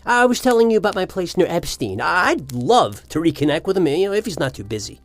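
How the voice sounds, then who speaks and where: An actor playing an eager, fawning sociopathic financial advisor in hell, obsequious and brazenly cheerful, in a comedic audio drama. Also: male voice, high-pitched, big pitch swings, fast, faint music behind. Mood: hopeful, chummy.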